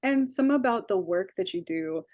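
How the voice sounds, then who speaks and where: Woman, interviewer, medium pitch, dry recording, casual encouraging chat, tentative phrasing. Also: lively intonation, moderate pace, darkish tone, dry recording, dull audio. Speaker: interviewer, movement studies faculty.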